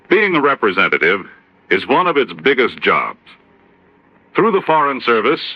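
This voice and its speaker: A man's voice: on the low side, no echo. A man giving a folksy scripted public service talk in 1950s radio style, on a dull, dry old recording. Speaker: radio spokesman delivering a public service message.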